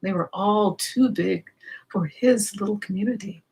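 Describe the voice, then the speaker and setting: Female children's storyteller, slow and expressive, slightly breathy-husky tone, talks directly to little listeners. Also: mid-range voice, poor audio. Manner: expressive, explains and poses questions to children. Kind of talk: read-aloud storytelling with asides to young listeners.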